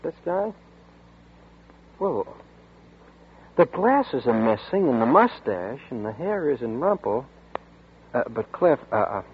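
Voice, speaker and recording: A man voicing a frightened young man accused of murder, nervous and halting, in 1940s radio drama. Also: mid-range voice, very animated, slightly rough, full character voice. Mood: anxious. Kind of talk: 1940s radio drama, first-person narration. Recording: tinny old radio broadcast with music.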